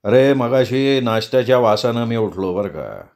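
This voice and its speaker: A man's voice: mid-range voice, expressive, normal speed, darkish tone, natural voice. A man voicing a chatty, easygoing middle-aged doctor.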